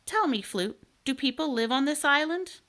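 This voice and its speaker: Storybook boy adventurer, played by a woman: earnest, curious, kindhearted, pitched up. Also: animated, normal speed, fairly bright tone. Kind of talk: children's storybook read aloud.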